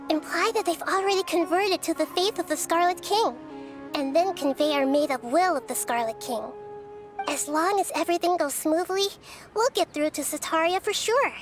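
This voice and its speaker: A woman voicing a calm, wise young girl deity in a video game, speaking in a measured, explanatory way. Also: extremely high pitch.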